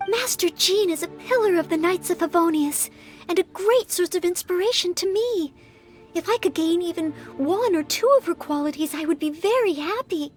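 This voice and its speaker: Game role: young maid and would-be knight, very high voice, polite, earnest, unsure of herself, faint music underneath. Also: female voice. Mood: humble, wistful. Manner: polite, earnest, carefully articulated. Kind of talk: anime-style video game voice acting.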